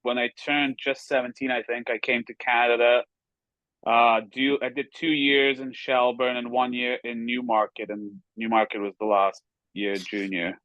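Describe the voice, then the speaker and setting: Male guest from Kazakhstan, mid pitch, dry close sound, gropes for words, self-mocking. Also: flat delivery, normal speed, fairly bright tone, no room sound, highs cut off. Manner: searches for words, laughs at himself. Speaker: podcast guest, former hockey player. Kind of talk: casual chat.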